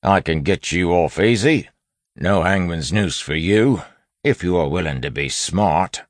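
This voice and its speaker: A man voicing a cocky, brash lawman who talks in a forceful, folksy, bullying way, as in an audiobook. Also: deep voice, animated, measured pace, dry recording, voice pushed up. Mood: brash, firm. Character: cocky, brash lawman bluffing in a stern constable voice. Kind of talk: audiobook character acting.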